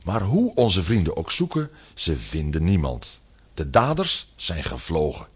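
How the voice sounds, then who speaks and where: Deep, animated male storyteller. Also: unhurried, husky. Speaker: narrator. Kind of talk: scripted children's story narration.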